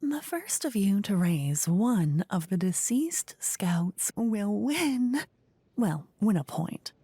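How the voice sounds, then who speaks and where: A woman voicing a flippant, gleeful queen in a soft-spoken fantasy ASMR roleplay, playful and condescending in a theatrical way. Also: mid-range voice, sing-song, slow pace, slightly rough, no echo, full character voice. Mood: playful, commanding. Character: gleeful, flippant queen presiding over her fantasy court.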